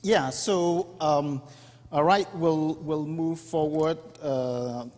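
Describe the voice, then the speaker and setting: A man, speaking in a slightly raised register. He explains his points earnestly and often pauses to find the next word. His voice carries a long reverb through a church hall. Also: fairly high pitch, a little mellow. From India. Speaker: mission speaker. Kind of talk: church presentation talk.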